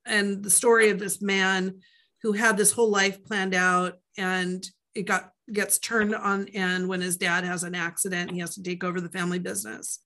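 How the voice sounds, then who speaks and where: A woman guest on a casual podcast talks about her books in a loose, rambling way, full of fillers and side remarks. Her voice is bright and forward, at an ordinary pitch for a woman. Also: little pitch movement, moderate pace. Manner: chatty, rambling, with many fillers and asides. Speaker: author guest.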